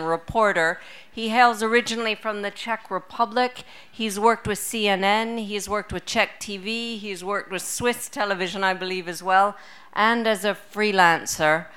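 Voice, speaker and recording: Woman host, clear bright voice, measured courteous introduction. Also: medium pitch. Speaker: event host introducing a speaker. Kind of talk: prepared introduction.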